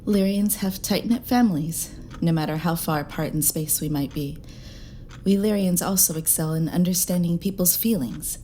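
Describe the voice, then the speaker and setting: A woman's voice: on the low side, moderate pace, mellow, slight background noise, lightly acted. A woman voicing an alien pub tender on a starship who explains herself earnestly.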